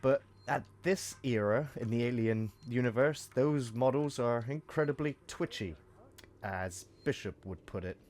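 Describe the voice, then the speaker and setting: A man with a croaky voice from a cold, chatting through gameplay commentary with joking asides. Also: medium pitch, a little slow, a little mellow. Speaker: let's play host.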